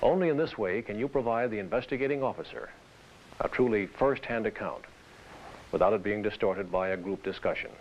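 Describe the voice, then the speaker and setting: A man presenting scripted instruction in a formal, deliberate manner. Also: lowish voice. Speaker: training film presenter. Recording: dull hissy vintage training film soundtrack.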